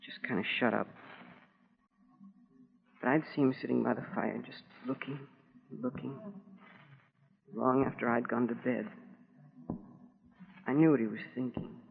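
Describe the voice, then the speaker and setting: Wistful schoolboy, scratchy old radio. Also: male voice, medium pitch, fairly even intonation, creaky. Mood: subdued, wistful. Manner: plain boyish colloquial talk, earnest and halting. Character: wistful, proud military school boy writing to his mother. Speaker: actor in a dramatic monologue. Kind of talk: 1940s radio drama acting, letter read as monologue. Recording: scratchy, narrow-band 1940s radio recording.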